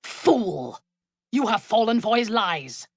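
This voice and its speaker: An actress playing an imperious, ruthless dark elf warrior priestess in a video game, with a haughty, commanding manner and formal, measured phrasing. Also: female voice, medium pitch, very animated, creaky, no room sound, clean studio sound, exaggerated voice. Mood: fierce, commanding. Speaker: video game companion character. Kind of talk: dramatic video game voice acting.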